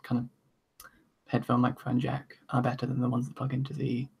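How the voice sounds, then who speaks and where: A man chatting on a livestream in a calm voice. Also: medium pitch, normal speed, vocal fry, slightly rough. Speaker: guest filmmaker. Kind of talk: casual group chat.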